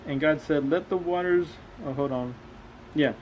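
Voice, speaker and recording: Skeptical man over a steady room hum. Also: on the high side, moderate pace, highs cut off. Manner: skeptical, questioning. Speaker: host reading aloud and commenting. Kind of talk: casual commentary between read-aloud passages.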